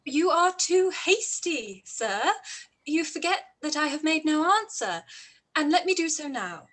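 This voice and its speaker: Woman playing a firm young period lady, high voice, polite and formal but spirited. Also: very animated, a little slow, a bit bright, exaggerated voice, low-quality recording. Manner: formal, composed, emphatic. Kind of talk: period drama live-read radio play.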